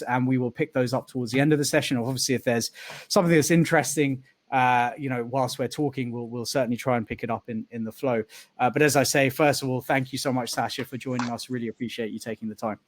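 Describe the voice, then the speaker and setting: A man's voice: medium pitch, fairly even intonation, fairly quick, dry recording. A man hosting an online interview session, rambling with many fillers and restarts. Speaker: host. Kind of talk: video call interview hosting.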